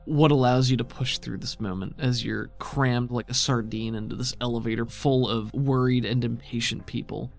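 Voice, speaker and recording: A man works as game master for a tabletop roleplaying game. His mid-pitched narration is descriptive yet conversational, with music playing behind it. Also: fairly even intonation, moderate pace, gravelly, few pauses.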